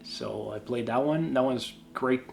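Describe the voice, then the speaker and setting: A Swedish man with an accent, a YouTube creator casually answering viewer questions, halting and self-deprecating with many restarts. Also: medium pitch, creaky, faint music behind. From Sweden.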